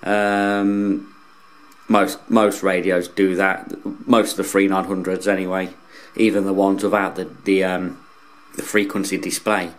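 Low-voiced man giving a casual review. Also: little pitch movement, measured pace. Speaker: radio hobbyist reviewer. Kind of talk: casual product review, unscripted demonstration.

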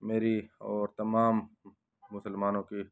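A young man from Pakistan talks slowly to his handheld phone as he walks through a bakery. His low voice stays flat and even, and he keeps returning to prayers and blessings between his remarks. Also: clean tone. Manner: slow, devout, repeats blessings often. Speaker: vlogger. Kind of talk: casual walk-through vlog with prayers. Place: bakery shop indoors.

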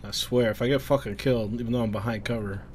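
A man's voice: medium pitch, fairly even intonation, quick pace, a little hiss or hum. A man giving casual, frustrated gameplay commentary, muttering and swearing as he plays. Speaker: gamer playing a shooter. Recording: game gunfire and ambience behind. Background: war game sounds, gunfire.

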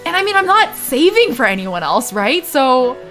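Lively, chatty young woman streamer. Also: high-pitched, moderate pace, faint music behind. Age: young adult. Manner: chatty, expressive, playful. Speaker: streamer reacting to a game trailer. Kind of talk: casual reaction chat. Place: indoor room, livestream webcam.

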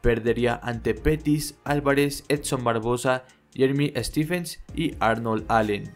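A young man narrates his sports channel's video with a steady, informative read. His voice sits at a middle pitch, and soft music runs under him. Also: unhurried. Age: young adult. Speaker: channel host. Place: small home room. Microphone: studio microphone on stand.

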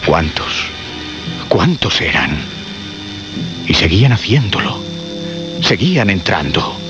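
A man giving solemn, suspenseful horror narration with dramatic pauses and repetition. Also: sits low, sing-song. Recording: muffled radio recording with music behind.

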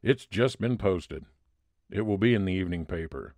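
A man's voice: low-pitched, lively intonation, normal speed, vocal fry, a little mellow, no room sound. A man playing a friendly, chatty police detective in an old-time radio style drama.

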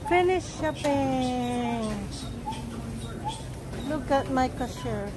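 A woman's voice: high-pitched, expressive, drawn-out words. A middle-aged Filipino-accented woman, a native Tagalog speaker, chatting casually for a vlog on a handheld phone. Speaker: vlogger. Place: large busy stores and a restaurant. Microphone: phone at arm's length. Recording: noisy stores, handheld phone.

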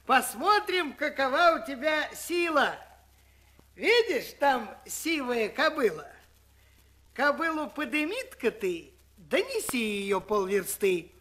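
Man acting as cunning peasant workman, taunting, bright high voice, declamatory, storybook record from the seventies. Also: heavily acted. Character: cocky, cunning peasant workman, mocking and bold. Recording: 1970s vinyl record.

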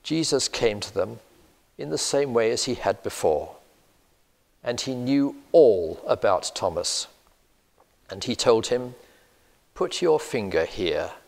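An older man with a British accent preaching a measured, earnest sermon, recorded in a church on a lapel mic. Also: mid-range voice, animated, vocal fry, darkish tone. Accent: British English. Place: church sanctuary, indoors. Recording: long church reverb.

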